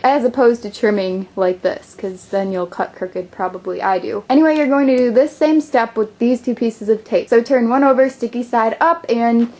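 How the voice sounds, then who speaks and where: A woman's voice: fairly high pitch, darkish tone. A young woman hosting a craft tutorial, explaining each step in a chatty, upbeat way while she is losing her voice. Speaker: craft channel host.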